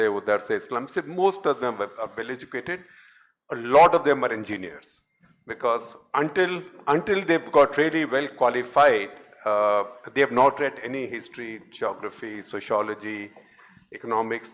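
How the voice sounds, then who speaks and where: A man with an Indian English accent moderating a panel discussion at an event, relaxed and halting, slipping Hindi phrases into his English. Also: medium pitch. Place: event hall with audience.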